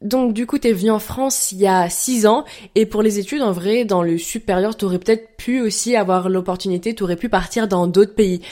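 A woman hosting a podcast, asking her guest questions in a relaxed, encouraging way. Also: mid-range voice, normal speed. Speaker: podcast host. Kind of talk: casual interview.

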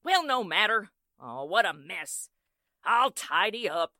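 A woman's voice: medium pitch, sing-song, slow pace, bright, forceful tone, dry recording, heavily acted. A woman playing a fussy, scolding village woman with folksy, exasperated delivery in 1940s radio drama style. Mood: fussing.

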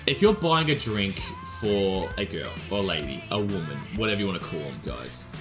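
A man's voice: low voice, expressive, normal speed, music in the background. A young man in his twenties hosting a casual podcast chat, joking and swearing freely. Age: 20s. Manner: laughing, swearing banter. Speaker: podcast host.

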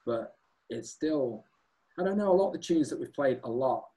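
Relaxed male singer chatting as guest. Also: medium pitch, moderate pace. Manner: relaxed, rambling, self-deprecating. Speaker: guest, singer and guitarist in a band. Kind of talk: casual chat.

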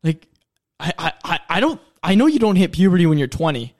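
A man's voice: high voice, big pitch swings, fairly quick, a little mellow. A man in his early twenties co-hosting a podcast in casual, joking chat. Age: 20s. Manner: joking, plugs the show's perks. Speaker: podcast co-host.

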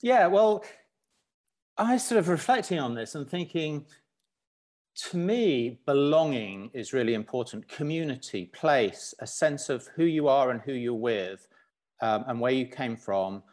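Male podcast guest from Zambia, reflective, halting, middle pitch. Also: animated, darkish tone. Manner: reflective, many fillers and restarts. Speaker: guest, business founder. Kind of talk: podcast interview.

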